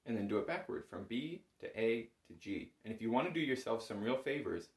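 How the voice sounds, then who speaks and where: A man's voice: medium pitch, animated, brisk, a little mellow. A man giving a conversational music lesson as an instructor, patient and encouraging, explaining things step by step. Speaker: bagpipe instructor. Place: small home music room, indoors. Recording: roomy indoor echo.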